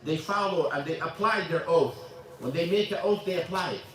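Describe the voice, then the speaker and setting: High-voiced imam teaching in an echoey mosque. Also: male voice, slight background noise. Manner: halting, repeats words, switches into Arabic. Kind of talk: informal religious lesson with questions. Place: mosque classroom. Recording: echoey room, mic at a distance.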